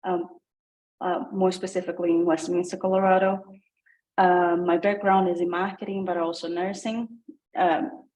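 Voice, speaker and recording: Lower-voiced Brazilian woman introducing herself. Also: level tone, moderate pace, darkish tone, rough recording. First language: Portuguese. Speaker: coding program participant. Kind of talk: casual self-introduction on a group call.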